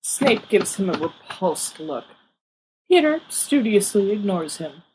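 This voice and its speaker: A woman's voice: mid-range voice, very animated, slow pace, background noise. A woman reading fiction aloud as scripted audiobook narration. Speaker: audiobook reader.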